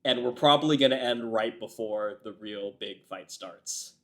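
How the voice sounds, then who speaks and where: A man hosting an anime reaction video, chatting casually while getting over a cold, his voice raspy and a bit nasal, rambling as a self-described yapper. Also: lowish voice, moderate pace. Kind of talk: casual chat to camera.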